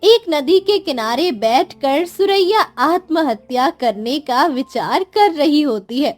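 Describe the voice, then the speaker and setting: A woman's voice: high voice, animated, slow. A woman narrating a scripted moral story as storyteller.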